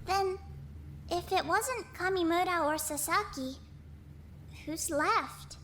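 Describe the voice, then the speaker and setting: A woman playing an inquisitive, suspicious young woman in an animated murder mystery. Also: very high-pitched, takes it slowly, slight background noise, exaggerated voice. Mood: questioning.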